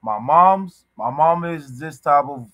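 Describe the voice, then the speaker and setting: A young man born in Ghana, chatting casually as a podcast guest over a video call from a small room, halting and full of restarts. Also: a little high, animated, fairly bright tone, no echo. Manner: halting, many restarts and fillers, loose slangy talk. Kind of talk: casual conversation. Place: indoors, small room at home.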